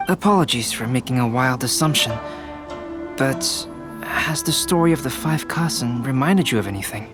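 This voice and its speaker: A man's voice: medium pitch, expressive, close to an everyday voice. Actor as a thoughtful, courteous young man. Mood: apologetic. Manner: articulate, polite, deliberate. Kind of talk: video game voice acting. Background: music.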